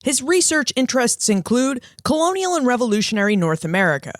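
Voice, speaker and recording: A woman's voice: on the high side, big pitch swings, brisk, no echo, studio quality. A woman hosting a history podcast, reading a scripted introduction and then welcoming and questioning her guest in an upbeat, friendly way. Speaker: podcast host.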